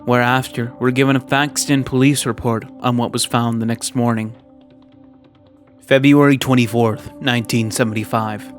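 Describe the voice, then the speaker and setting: A man hosting a video essay, reading scripted commentary in a measured, wry way and stretching words for emphasis. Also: mid-range voice, steady tone. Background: background music.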